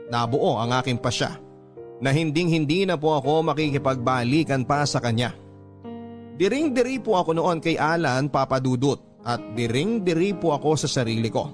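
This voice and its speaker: A man reading a confessional letter as a storyteller, in measured, earnest dramatic narration. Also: medium pitch. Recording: music bed under narration. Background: music.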